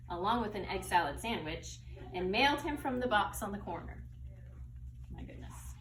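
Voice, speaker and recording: A woman schoolteacher reading a children's story expressively and explaining things to young pupils as she goes. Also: mid-range voice. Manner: expressive, teacherly, explains words. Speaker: second grade teacher. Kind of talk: read-aloud of a children's story with asides to pupils. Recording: roomy echo, distant mic, faint noise.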